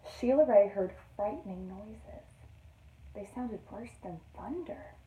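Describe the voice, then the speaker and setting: A woman teacher reading a picture book aloud to young children in an expressive storytelling manner. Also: mid-range voice, takes it slowly, slight background noise. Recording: roomy echo, distant microphone.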